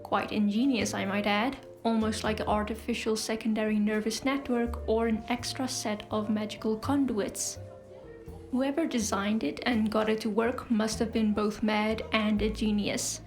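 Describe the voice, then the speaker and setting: A woman's voice: mid-range voice, little pitch movement, normal speed, faint music behind, close to an everyday voice. A woman playing a coolly fascinated scientist-healer in an audio drama, speaking in an articulate, clinical, lecturing way.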